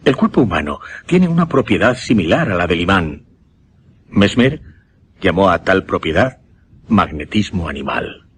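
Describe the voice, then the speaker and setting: An actor playing a solemn hypnotist recounting a macabre experiment in a Spanish radio drama, with a Castilian accent and measured, dramatic pauses. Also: male voice, low-pitched, very animated, a little hiss or hum. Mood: lecturing, earnest.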